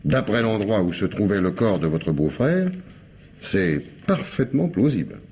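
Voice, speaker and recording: An actor playing a shrewd, suspicious police commissioner in an old French radio mystery, questioning and musing aloud with pointed pauses. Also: male voice, on the low side, sing-song, darkish tone. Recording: noisy old radio recording with ambience.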